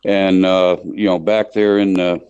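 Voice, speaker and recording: Very deep-voiced older American man. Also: flat delivery, darkish tone, clear, resonant tone. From Michigan, United States. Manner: plain-spoken, folksy, halting with repeated words. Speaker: interview guest, livestock association chief executive. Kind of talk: conversational interview, reminiscing. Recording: dull lo-fi line in a roomy space.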